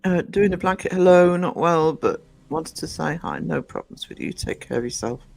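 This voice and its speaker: Croaky man chatting on a stream. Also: on the high side, expressive, normal speed, soft background music. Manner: rambling, chatty, greets viewers warmly by name, frequent ums. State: croaky from just waking up. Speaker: live-stream host. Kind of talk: casual live-stream chat. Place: indoors at home. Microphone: desk microphone.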